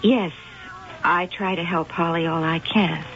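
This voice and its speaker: A woman's voice: lowish voice, fairly even intonation, a little slow, a bit bright. An actress playing a cool, poised cat-keeping woman in a radio mystery, speaking in a dry, sardonic way. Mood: coolly guarded. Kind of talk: old-time radio mystery acting. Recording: dull noisy old radio broadcast recording.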